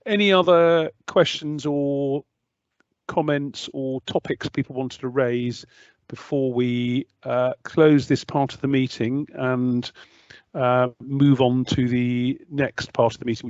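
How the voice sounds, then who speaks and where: A man chairing an online public meeting, polite and measured as he hands over to speakers. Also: medium pitch, fairly even intonation, a little mellow, dry recording. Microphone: video call. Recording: muffled, dry video call audio.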